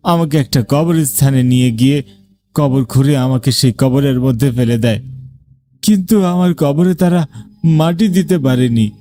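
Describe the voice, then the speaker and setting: A man's voice: fairly high pitch, mellow, natural voice. A man voicing the solemn ghost of a middle-aged trader, pleading earnestly and deferentially in a cartoon horror story.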